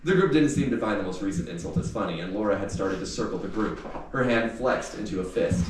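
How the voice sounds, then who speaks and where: A man reading youth fiction aloud in an expressive, audiobook-like storytelling style. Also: fairly low pitch, fast. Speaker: author reading aloud from a novel. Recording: echoey room, distant mic, faint noise.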